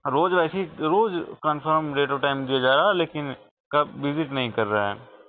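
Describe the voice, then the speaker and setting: A tinny phone recording carries the fairly high voice of an Indian man. He is a customer making a complaint. He presses his point insistently and repetitively, sounding aggrieved. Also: moderate pace. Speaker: customer calling support with a complaint.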